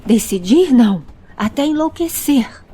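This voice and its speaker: A woman's voice: sits high, animated, measured pace, mellow, slight background noise. A woman voicing a hot-tempered, protective male traveller in an audio story, scolding in an angry, emphatic way. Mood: furious.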